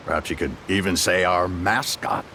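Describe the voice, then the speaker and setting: A man's voice: deep voice, a little slow, sharp tone, a little hiss or hum. A man playing an easygoing, chatty city local in a video game, with a breezy, lightly joking manner. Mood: amused. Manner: breezy, informal.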